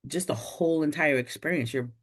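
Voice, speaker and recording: A woman's voice: low voice, brisk, breathy edge. A woman in her forties, a podcast guest, telling a personal story in a casual chat and acting out what people said. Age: 40s. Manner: animated, acts out quoted voices.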